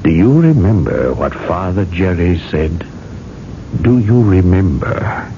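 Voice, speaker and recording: Deep, gravelly, relentless interrogator. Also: male voice, big pitch swings, dark tone, full character voice. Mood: relentless, accusing. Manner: probing, deliberate, interrogating. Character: stern, knowing male interrogator, calmly relentless judge. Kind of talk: 1980s radio drama acting. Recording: dull, noisy old radio recording.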